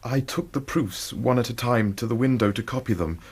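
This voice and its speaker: An actor playing an ashamed, honourable young male student with an English accent, halting and subdued, in a radio drama. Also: mid-range voice, breathy edge, lightly acted. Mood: subdued confession. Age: young adult.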